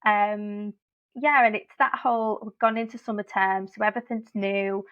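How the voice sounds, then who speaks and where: A woman's voice: medium pitch, steady tone, moderate pace, fairly bright tone. Woman, teacher guest, chatty, laughs often, muffled lo-fi audio. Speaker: guest, early years teacher. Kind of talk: casual podcast chat.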